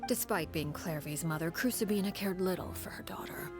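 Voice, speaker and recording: A cold, composed woman, played by an actress, tells a dark tale in a soft, breathy half-whisper. Her voice sits low and stays measured and grave, as in a game cutscene. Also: music in the background, close to an everyday voice. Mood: grave, calm. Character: cold, composed woman recounting a grim past. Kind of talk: game story cutscene dialogue.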